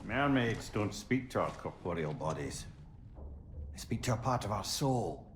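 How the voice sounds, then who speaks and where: An actor playing a knowing, dry-witted explainer of mystical lore in a video game. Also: male voice, fairly low pitch, expressive, unhurried. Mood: dry, explanatory. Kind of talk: video game cutscene acting. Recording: loud background noise over voice.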